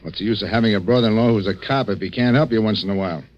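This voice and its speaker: Actor as a blunt, quick-talking American cop, old radio. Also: male voice, fairly low pitch, close to an everyday voice. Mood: brisk, probing. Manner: clipped, businesslike. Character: blunt, no-nonsense policeman questioning his brother-in-law. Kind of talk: 1940s radio drama acting. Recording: dull, muffled old radio recording.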